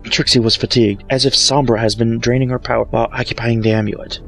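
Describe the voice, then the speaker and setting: A man's voice: mid-range voice, fairly even intonation, quick pace, fairly bright tone. A man reading a story aloud as a dramatic narrator, recorded on an amateur microphone. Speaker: fan fiction reader. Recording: music behind, amateur microphone.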